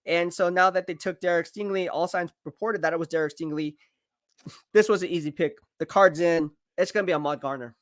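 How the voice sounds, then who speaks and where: A man live-streaming football draft commentary, excitable and hyping each pick, his voice going hoarse. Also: on the high side, brisk, no echo, clean studio sound. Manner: excitable, hypes picks, reads chat aloud. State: losing his voice.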